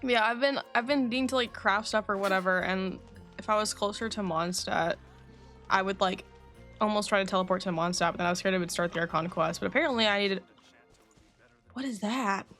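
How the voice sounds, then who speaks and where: A woman's voice: mid-range voice, a little fast, a bit bright, faint music behind. A woman streaming a game, chatting casually in a rambling way and swearing now and then. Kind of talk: casual livestream chat.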